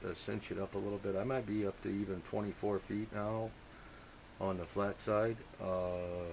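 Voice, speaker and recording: A man talking shop as a radio hobbyist, halting and rambling as he thinks out loud. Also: fairly low pitch, little pitch movement. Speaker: amateur radio operator. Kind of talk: casual technical radio chat. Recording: hissy, noisy radio contact recording.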